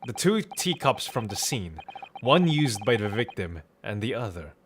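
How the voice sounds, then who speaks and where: Man playing an animated, earnest defence lawyer. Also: fairly low pitch, normal speed, background noise, voice pushed down. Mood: insistent. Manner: formal, emphatic courtroom argument. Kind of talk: video game character voice reading.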